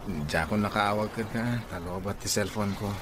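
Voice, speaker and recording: A Filipino actor playing an evasive, apologetic husband in a radio drama. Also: male voice, on the low side, normal speed, natural voice. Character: husband, evasive and apologetic, torn between wife and lover. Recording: noisy radio drama track with ambience.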